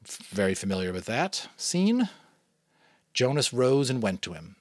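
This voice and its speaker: A man from New England reading a novel aloud as a father, in a dramatic, expressive narration style. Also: mid-range voice, measured pace, dry recording, clean studio sound. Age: adult, a father. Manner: expressive, varied delivery. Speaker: audiobook reader.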